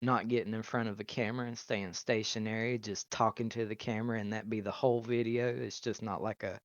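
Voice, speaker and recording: A young man telling his story in a casual interview over a video call, loud and animated. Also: on the low side, brisk, dry recording. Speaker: YouTuber, interviewee. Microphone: video call mic. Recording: muffled, dry video call audio.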